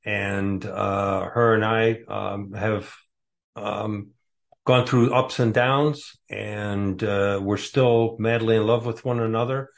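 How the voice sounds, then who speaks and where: A man's voice: lowish voice, steady tone, slow, vocal fry. A man in his sixties from the Detroit area, a guest author answering interview questions casually and hesitantly, with many fillers. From Metro Detroit area, USA.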